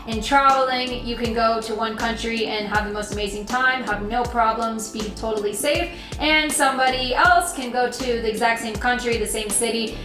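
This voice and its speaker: A young woman with an American accent talks to her viewers in an earnest, meandering way, circling back over her own points. Her voice sits a bit high and comes from some way off the mic in a roomy, echoing space. Music plays clearly underneath. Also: far from the mic. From United States. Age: young adult. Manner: earnest, rambling, repeats herself. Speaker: travel vlogger. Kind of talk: casual talk to camera.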